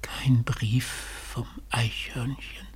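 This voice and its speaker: Mysterious stranger, radio drama: very breathy, slow, softly menacing. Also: male voice, mid-range voice, flat delivery, in a whisper, heavily acted. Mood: ominous, hushed. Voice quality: very husky, breathy. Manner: slow, soft, insinuating. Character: mysterious, quietly menacing man delivering a parcel. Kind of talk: German literary radio play acting.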